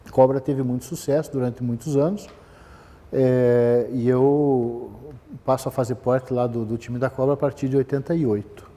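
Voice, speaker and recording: Man, middle-aged, very warm dark tone, hesitant restarts, long hall reverb. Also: mid-range voice, steady tone, moderate pace. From southern Brazil. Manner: rambling, many fillers and restarts. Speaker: business manager giving a talk. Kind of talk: conversational talk, personal history.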